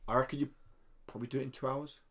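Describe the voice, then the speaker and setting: Man, podcast co-host, casual gaming chat, enthusiastic, rambling, mid-range pitch. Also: steady tone, racing.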